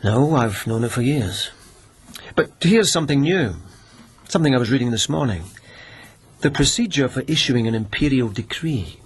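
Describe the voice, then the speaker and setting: An actor in a radio drama playing a gentle, lovelorn police lieutenant, speaking in a deliberate, wry, musing way. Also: male voice, medium pitch, animated, breathy edge. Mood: measured, explanatory.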